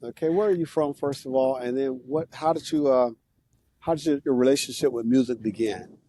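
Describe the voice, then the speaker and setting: A man hosting a casual live interview from outdoors, relaxed and chatty, repeating words and laughing along with his guest. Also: medium pitch, a little fast, a little hiss or hum. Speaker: show host. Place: outdoors near an airport.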